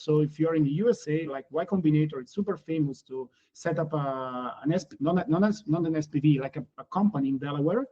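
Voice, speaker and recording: A man from Italy with an Italian accent, speaking as a guest on a panel, halting and often correcting himself mid-word. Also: a little high, mellow, husky, muffled recording. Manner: halting, frequent self-corrections. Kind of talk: panel discussion.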